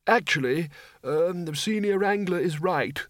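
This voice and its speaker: A man voicing a mild, polite undead wizard in a storybook, with a gentle, hesitant, courteous manner. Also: high voice, measured pace, no room sound, clean studio sound, voice pushed up. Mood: mild, apologetic.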